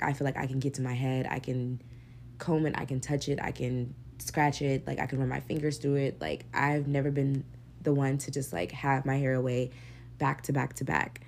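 A young woman hosting her own podcast, talking candidly and casually, swearing freely, with a deep, gravelly voice. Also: darkish tone, a little hiss or hum. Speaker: podcast host. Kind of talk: casual solo podcast monologue.

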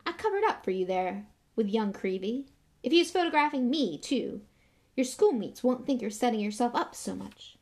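A woman voicing a self-important, jovial male teacher in a storybook, hearty, booming and patronising. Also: lively intonation, normal speed.

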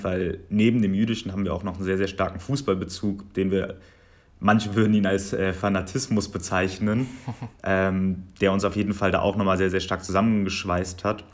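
A man in his twenties from Germany, answering interview questions in a measured, articulate way with long listing sentences. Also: sits low, flat delivery. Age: 20s. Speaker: guest.

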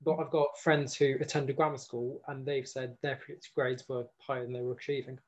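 A man's voice: mid-range voice, level tone, a little fast, lo-fi sound. A student in his late teens answering interview questions carefully, qualifying his points.

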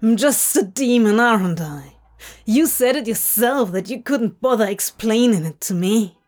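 A woman's voice: a little high, lively intonation, a little slow. A woman doing a furious ranting male demon. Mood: bitter. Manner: ranting, shouting, snarling. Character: volatile anguished male demon, sharp-tongued.

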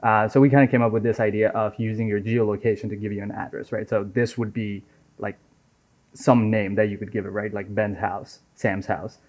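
A man in his twenties from Nepal, chatting casually as an interview guest. He talks in a relaxed, easy way, often dropping "right?" and "man" into his sentences. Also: fairly low pitch, fairly quick. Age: 20s. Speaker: software engineer guest. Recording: dull lo-fi audio.

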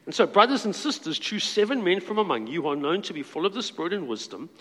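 A man's voice: sits high, sing-song, fast talker, a bit bright, in a large hall, low-quality recording. A man preaching a sermon as pastor, reading scripture aloud and adding earnest asides.

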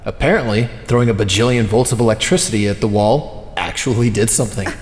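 A man's voice: on the low side, fast, close to an everyday voice. A man acting in an audio drama as a bossy, sardonic villain leader with vain, classy tastes. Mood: bossy, dry. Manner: sardonic, commanding. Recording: roomy, echoing hall.

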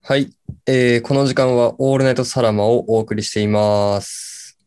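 Guy acting as young late night radio host, mid voice, halting rambly chat full of fillers. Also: mid-range voice, fairly even intonation, darkish tone, clean tone, lightly acted. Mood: musing, rambling. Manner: halting, filler-heavy, then bursts of words.

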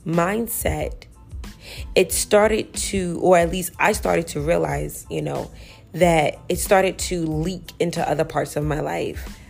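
Low, gravelly-voiced woman podcaster. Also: slow, darkish tone, soft background music. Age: 30s. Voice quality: a little raspy. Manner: chatty and self-reflective, full of "you know" and "like". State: early-morning voice. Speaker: podcast host. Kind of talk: casual solo podcast talk.

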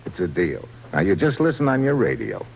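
A man playing a big-hearted baseball slugger, gruff but kind, in old-time radio drama style with folksy, plain speech. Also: low voice, huge pitch swings, brisk, a little hiss or hum, full character voice, rough recording. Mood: kindly, encouraging.

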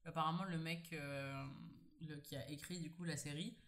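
A woman hosting a casual chat podcast, telling stories in a lively way. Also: deep voice, normal speed. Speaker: podcast host.

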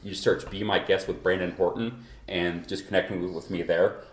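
A man's voice: deep voice, little pitch movement, normal speed. A man from Kansas hosting a podcast in loose, rambling casual chat, feeling a bit unwell. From Kansas, USA. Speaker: podcast host. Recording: echoey room recording.

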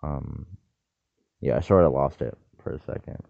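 A man with a very low voice talks in a loose, wandering monologue, full of jokey asides and restarts. His voice is gravelly with fry. The sound is dry and thin, like a phone line. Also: moderate pace, dry recording. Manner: meandering, self-interrupting, jokey asides. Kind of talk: rambling solo monologue.

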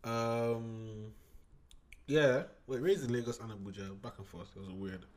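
A man's voice: medium pitch, fairly even intonation, measured pace, a little mellow. A young man from Nigeria in his twenties hosting a casual solo podcast from his home desk, rambling, digressing and swearing freely. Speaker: podcast host. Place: home room at a computer desk.

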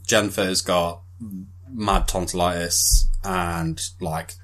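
A young man co-hosting a podcast, joking loudly and swearing a lot in casual chat. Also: sits low, slow pace. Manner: loud, jokey, swears a lot. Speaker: podcast co-host.

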